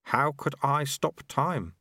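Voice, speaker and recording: A man's voice: a little high, slow, pushed and bright, no echo, studio quality, pitched above the performer's own voice, heavily acted. A man doing a wily, teasing old martial arts master. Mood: sly teasing. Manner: sly, teasing, bossy.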